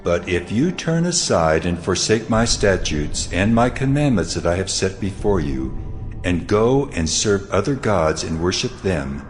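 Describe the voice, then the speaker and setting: A man playing a solemn, majestic God in a dramatized scripture reading, speaking with measured authority. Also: on the low side. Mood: stern warning. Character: God, solemn majestic male deity speaking promise and warning. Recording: background music under the voice.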